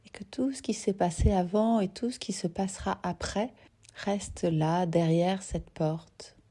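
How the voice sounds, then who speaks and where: A woman teaching yoga nidra, guiding a relaxation gently and unhurriedly in short phrases with soft, breathy endings. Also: mid-range voice, measured pace. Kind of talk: guided relaxation meditation.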